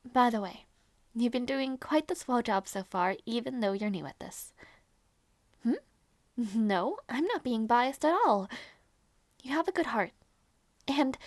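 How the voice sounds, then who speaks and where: Woman acting a high-voiced, unhurried doting princess aunt. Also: no room sound. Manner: sweet, coaxing, sighing. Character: gentle doting princess aunt minding her little nephew. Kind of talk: audio roleplay acting.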